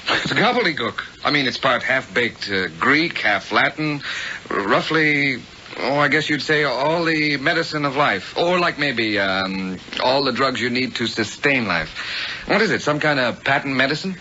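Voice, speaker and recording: Wry newsman, educated, casual and teasing, very sharp mid-pitched voice, dull vintage radio sound. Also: lively intonation, unhurried. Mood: puzzled, wry. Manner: breezy, teasing, hesitant when thinking. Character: wry, college-educated male newspaper reporter. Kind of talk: 1970s radio drama acting. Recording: dull hissy old radio recording.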